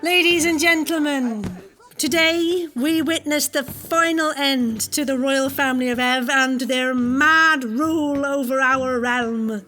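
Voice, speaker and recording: A woman's voice: high voice, bright, forceful tone, full character voice. A woman playing a smug, formal usurper in an audio drama, speaking in a theatrical way. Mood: smug menace. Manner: deliberate, theatrical. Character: smug usurping female executioner-leader, formal and menacing.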